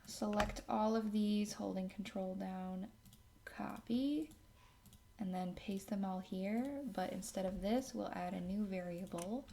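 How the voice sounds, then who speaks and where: A woman narrating a casual software tutorial as its host, working at a computer indoors and sometimes murmuring to herself. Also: mid-range voice, slow pace, creaky, a little hiss or hum.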